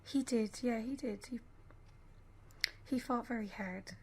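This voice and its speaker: A woman acting a grieving young mother in a radio play, numb and fragile, with a hushed, breathy, hesitant delivery. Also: fairly high pitch, a little hiss or hum. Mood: numb, polite. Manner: hesitant, halting, subdued.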